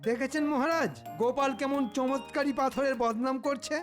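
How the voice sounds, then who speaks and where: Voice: high voice, steady tone, moderate pace, natural voice. A voice actor playing a scheming, jealous male royal minister in a comedy cartoon, conniving and theatrical. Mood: gloating, sly. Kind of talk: Bengali comedy cartoon dubbing. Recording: music behind the voice.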